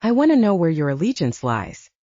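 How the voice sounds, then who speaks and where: A woman narrator plays a young-hearted, determined hero in an audiobook. He banters in a wry, casual way, with mobile pitch and a mellow tone. The audio is slightly dull. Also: sing-song, a little fast, no room sound. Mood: firm. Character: teasing, determined man, first-person hero of werewolf story. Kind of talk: audiobook character acting.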